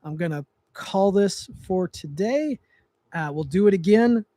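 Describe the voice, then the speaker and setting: A man's voice: high voice, expressive, a little slow, dark tone, no room sound. A young man hosting a casual livestream, rambling with frequent restarts and fillers, seated in a chair at home on a close mic, his voice going dry. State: voice getting dry. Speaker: livestream host, trigger tuner.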